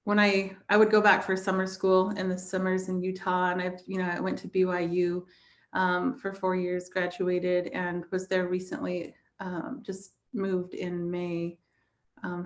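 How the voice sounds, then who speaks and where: A woman's voice: fairly low pitch, completely flat delivery, normal speed. An American woman in her forties from the Navajo Nation, talking reflectively about personal experience as a panelist. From Navajo Nation, southwestern United States.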